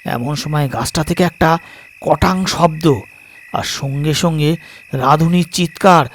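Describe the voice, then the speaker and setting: A man narrating a scripted suspense story dramatically, in measured short phrases with pauses. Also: on the high side, a little hiss or hum. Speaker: storyteller, narrator of audio stories.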